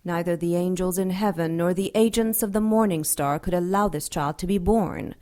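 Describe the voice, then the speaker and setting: A woman playing an imperious, sardonic ancient dark angel in an audio drama, taunting and theatrical in a deliberate way. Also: lowish voice, natural voice. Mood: cool, superior.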